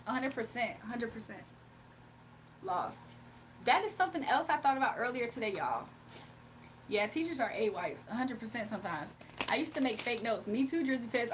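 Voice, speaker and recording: A woman's voice: fairly high pitch, a bit bright, a little hiss or hum. A young woman streaming live, chatting casually with her viewers, laughing often and reading out chat messages.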